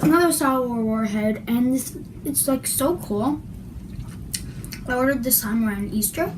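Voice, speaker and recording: Young woman with a worn-out voice, loud noise behind her. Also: a little high. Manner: apologetic, chatty, drawn-out words. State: losing her voice, clearing her throat. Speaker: slime reviewer. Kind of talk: casual product review vlog.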